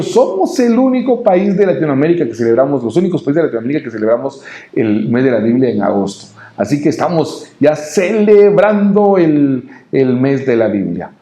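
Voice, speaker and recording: A male preacher with a Guatemalan Spanish accent delivers a devotional message in a mid-pitched, dark and relaxed voice. He moves haltingly, repeating words as he addresses his listeners. The sound is noticeably roomy and echoing.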